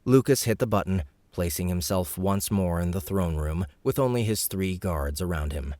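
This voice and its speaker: A man's voice: deep voice, normal speed, mellow, dry recording. A man narrating an audiobook. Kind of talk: scripted narration.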